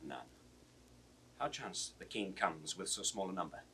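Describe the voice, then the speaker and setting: An actor playing a loyal, plain-spoken servant, in firm, emphatic classical stage declamation. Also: male voice, low-pitched, measured pace, bright, forceful tone. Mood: plain, steady. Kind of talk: classical Shakespearean stage acting. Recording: distant, hissy 1960s audio drama recording.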